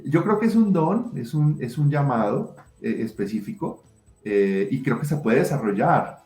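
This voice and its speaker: A middle-aged writer answering interview questions passionately, with many restarts. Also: male voice, mid-range voice, animated, normal speed, a little mellow, soft background music. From Colombia. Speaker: writer and guest. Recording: roomy echo.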